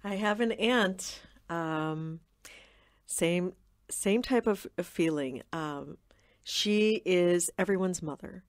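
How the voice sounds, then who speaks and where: A woman, a podcast guest, telling a warm, laughing family anecdote in casual chat. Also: fairly low pitch, slow pace, dry recording, studio quality. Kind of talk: casual storytelling.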